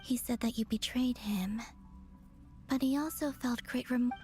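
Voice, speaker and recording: A woman voicing a calm, gentle young moon goddess in a video game, speaking softly and with composure. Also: a little high, a little slow, faint music behind, close to an everyday voice. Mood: gentle, frank.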